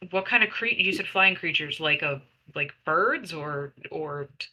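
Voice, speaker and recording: A woman's voice: low voice, lively intonation, a little slow, bright, forceful tone, exaggerated voice, rough recording. A woman playing a blunt, exasperated friend in a tabletop game, stammering through casual explanations. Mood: exasperated, explaining.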